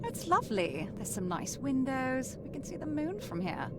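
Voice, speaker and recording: A woman's voice: sits high, big pitch swings, moderate pace, heavy background noise. A woman voicing a refined, sardonic lady in a visual novel game, speaking with mannered, wordy politeness. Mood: breezy, refined. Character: refined, sardonic young lady with old-fashioned, genteel airs.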